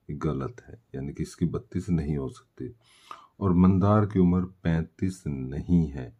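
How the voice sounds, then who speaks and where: Very deep-voiced man teaching. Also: warm tone, lo-fi sound. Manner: explanatory, poses questions and answers them himself. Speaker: maths teacher. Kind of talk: step-by-step worked-solution lesson.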